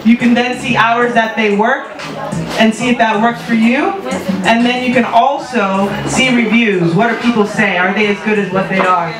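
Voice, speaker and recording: A woman's voice: a little high, fairly even intonation, normal speed. A woman giving a casual live product demo at a party, talking the listener through each step. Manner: casual, walks through steps. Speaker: product presenter. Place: party venue. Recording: echoey room from far off with loud music.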